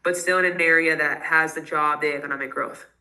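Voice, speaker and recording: Woman investor fielding call-in questions: bright, slightly low voice, casual, filler-heavy. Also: fairly even intonation. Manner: fast, casual advice with many fillers. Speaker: real estate investor answering questions. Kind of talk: live Q&A call.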